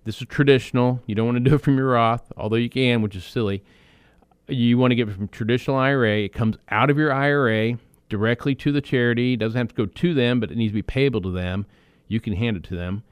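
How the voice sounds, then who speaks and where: A man's voice: mid-range voice, clear, resonant tone, dry recording. Chatty male finance radio host. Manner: conversational, explains with quick asides and restarts. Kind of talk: financial advice radio talk.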